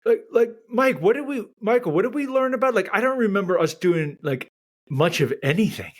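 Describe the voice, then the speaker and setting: A man from New York, a guest historian, teasing the host with quick banter on a podcast livestream. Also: sits high, animated. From New York, USA. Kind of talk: casual livestream chat.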